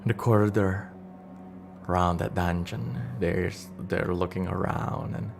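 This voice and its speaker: Casting: male game master for a streamed fantasy campaign, unhurried pace, halting improvised narration with repeated phrases. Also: sits low, fairly even intonation, gravelly, over music. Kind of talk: improvised storytelling of a tabletop campaign, chatting with viewers.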